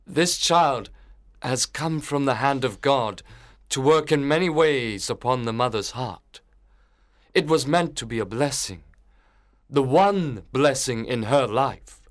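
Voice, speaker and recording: A man playing a troubled young minister in a dramatized audiobook, speaking in a measured, earnest, preacherly way. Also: mid-range voice, no echo, studio quality, natural voice.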